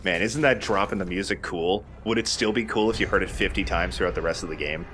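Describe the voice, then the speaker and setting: Low-voiced man, casual gaming commentator. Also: quick pace, fairly bright tone. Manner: chatty, explanatory, casual swearing. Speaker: let's play host and player.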